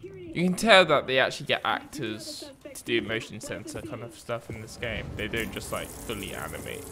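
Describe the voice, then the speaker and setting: A man's voice: lowish voice, very animated, normal speed, creaky, over music. A young man giving casual reaction commentary in a hesitant, rambling, repetitive way. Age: young adult. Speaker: video host reacting to a game trailer. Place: small panelled room at home. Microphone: desk microphone on a boom arm.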